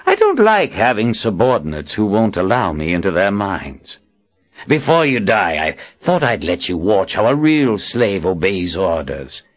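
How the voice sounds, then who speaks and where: A man's voice: lowish voice, dull audio. A man voicing a menacing tyrant who speaks through a possessed woman, mocking and silky in an audiobook. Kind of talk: audiobook character acting.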